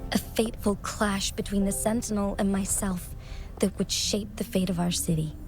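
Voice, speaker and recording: A dutiful young female magistrate of noble bearing, played by a woman in a video game. She speaks formally and with measured resolve at a middling pitch, with some music underneath. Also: fairly even intonation, darkish tone, natural voice. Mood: calm, determined.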